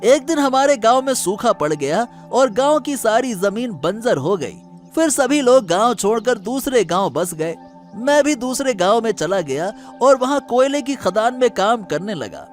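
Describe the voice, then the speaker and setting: A man's voice: very high voice, big pitch swings, measured pace, faint music behind, exaggerated voice. A man playing a friendly, naive-seeming young merchant in a cartoon moral story, polite and explanatory. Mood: humble, explaining.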